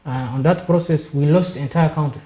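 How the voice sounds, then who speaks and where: Panel guest from Somalia, man, measured delivery, dark tone, roomy studio echo. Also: fairly high pitch, fairly even intonation. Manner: measured, repeats words when searching. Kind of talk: panel discussion.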